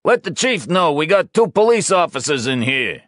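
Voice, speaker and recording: A man voicing a steady fire officer who shouts reassurance and questions through a door. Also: on the high side, moderate pace, dry recording, studio quality, voice pushed up. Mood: shouting.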